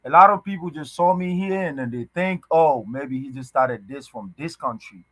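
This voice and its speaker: A young man born in Ghana, chatting casually as a podcast guest over a video call from a small room, halting and full of restarts. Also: fairly high pitch, fairly bright tone, breathy edge, dry recording. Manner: halting, many restarts and fillers, loose slangy talk. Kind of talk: casual conversation. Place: indoors, small room at home.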